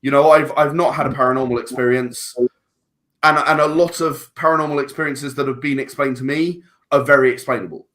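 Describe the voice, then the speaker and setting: Guest: man, forceful bright tone, halting and reflective. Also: medium pitch, fairly even intonation. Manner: thoughtful, restarts words often. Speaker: guest filmmaker. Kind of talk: casual podcast conversation.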